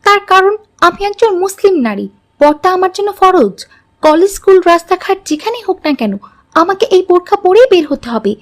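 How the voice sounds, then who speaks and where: A very high-pitched teenage girl from a moral-tale cartoon, acted by a woman. She is earnest and stubborn, and states her case in careful, formal sentences. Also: very animated, fairly quick. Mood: polite, firm.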